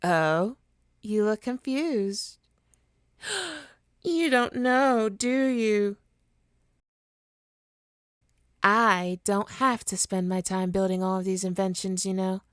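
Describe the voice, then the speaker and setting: A woman's voice: mid-range voice, slow, smooth, no echo, studio quality, natural voice. A woman playing a smug, teasing supervillain with hypnotic powers in an ASMR roleplay, taunting and theatrical in a condescending way. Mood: gloating, taunting. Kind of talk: ASMR roleplay monologue to listener.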